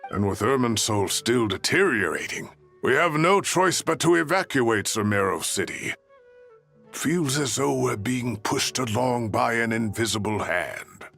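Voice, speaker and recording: Gravelly, expressive scholarly advisor. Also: male voice, mid-range voice, measured pace, faint music behind, full character voice. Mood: troubled. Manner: formal, explanatory. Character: earnest, worried scholarly man, analytical advisor. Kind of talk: video game voice acting.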